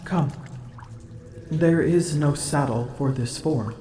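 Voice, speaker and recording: A woman's voice: sits low, mellow, roomy sound, a little hiss or hum. A woman voicing a proud, smug female dragon in human form, haughty and teasing, in an ASMR roleplay. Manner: haughty, teasing, slow and deliberate.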